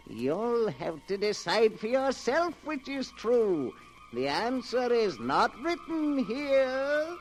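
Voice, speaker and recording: An actor playing a sinister keeper of a book of horror tales, narrating with theatrical menace and ghoulish relish in 1940s radio style. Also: male voice, extremely high pitch, sing-song, extremely slow, soft background music, exaggerated voice. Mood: gloating, macabre. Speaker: horror show host. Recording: noisy old-time radio recording.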